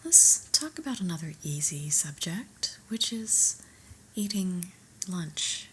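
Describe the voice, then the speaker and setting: A woman playing an unimpressed, politely corporate new manager in a soft, whispered ASMR roleplay, with a measured, dryly disapproving manner. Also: lowish voice, big pitch swings, slight background noise, heavily acted.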